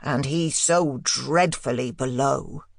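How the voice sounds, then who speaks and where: A woman voicing a troubled, plain-spoken older housekeeper in an audiobook, halting and woeful, full of hushed dread. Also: a bass voice, vocal fry, dry recording, studio quality, voice pushed down, full character voice. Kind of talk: audiobook character dialogue.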